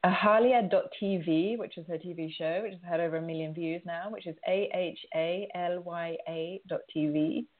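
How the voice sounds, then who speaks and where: Low-voiced woman radio host on the phone. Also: fairly even intonation, moderate pace, hardly any pauses. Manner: warm encouraging, reads out contact details. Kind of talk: live radio interview. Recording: phone line.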